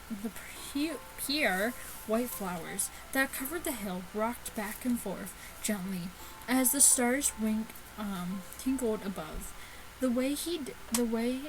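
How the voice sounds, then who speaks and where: A woman hosting a gaming video, reading a visual novel aloud in a casual, halting way with stumbles and asides. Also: fairly high pitch, rough recording. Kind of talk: casual chat and read-aloud let's play.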